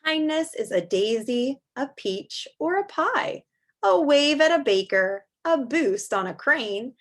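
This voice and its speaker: A woman teacher reading a picture book aloud to young children, expressively and in simple words, with chatty asides. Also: high voice.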